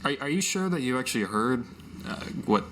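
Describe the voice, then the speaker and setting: A man's voice: medium pitch, animated, a little fast, fairly bright tone. Casual male tabletop player, noisy background. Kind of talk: casual improvised role-play chat. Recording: noticeable background noise.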